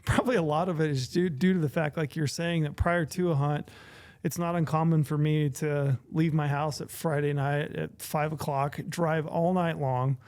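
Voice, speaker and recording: A man's voice: on the high side, fairly even intonation, moderate pace, a little mellow. A man co-hosting a casual podcast, talking loosely and correcting himself as he goes. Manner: rambling, self-correcting, laughs often. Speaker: podcast co-host.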